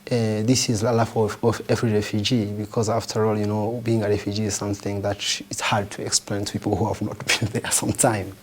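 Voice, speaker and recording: Earnest low-voiced man, French native speaker. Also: flat delivery, husky. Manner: earnest, halting with restarts and fillers. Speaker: refugee organization founder. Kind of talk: interview answers.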